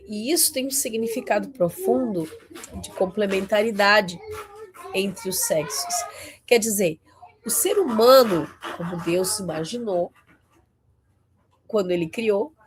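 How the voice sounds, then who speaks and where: A husky woman in her forties speaks in an unhurried, conversational way. She keeps checking in with her listeners, tagging her sentences with questions as she teaches fellow Christians, and lands her points with emphatic rises in pitch. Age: 40s.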